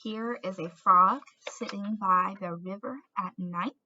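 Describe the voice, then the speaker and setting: Bright-voiced woman teaching art to children. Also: medium pitch, a little slow, muffled recording, slight background noise. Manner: encouraging, addresses young viewers with questions. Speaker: art teacher presenting a tutorial. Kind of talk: instructional craft demonstration for children.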